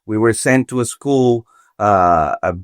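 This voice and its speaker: A man's voice: fairly low pitch, lively intonation, dry recording, clean studio sound. A man in his forties from Venezuela, a contractor talking as a podcast guest, thinking aloud with many restarts. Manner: halting, thoughtful, many restarts. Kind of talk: casual interview talk. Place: podcast studio.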